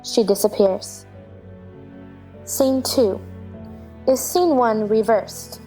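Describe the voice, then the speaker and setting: A woman's voice: on the high side, drawn-out words, warm tone, faint music behind. A woman narrator reading stage directions in scripted narration for a play.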